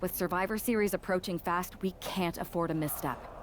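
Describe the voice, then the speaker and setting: A woman's voice: lowish voice, a little fast, slight background noise, close to an everyday voice. A woman playing a blunt, wry ex-MMA wrestler and ally in a video game cutscene. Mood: cautious.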